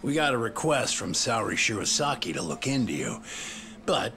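An actor playing a sleazy middle-aged private detective in a video game, mocking and slangy. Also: male voice, medium pitch, sing-song, moderate pace, pushed and bright, faint music behind. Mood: smug, taunting. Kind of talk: video game cutscene acting, English dub.

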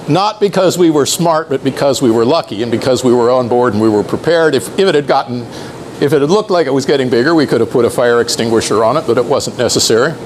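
Middle-aged man giving an unhurried talk. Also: on the high side, slight background noise. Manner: unhurried, explanatory, with some restarts and fillers. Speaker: presenter. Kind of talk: live talk to sailors. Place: club room.

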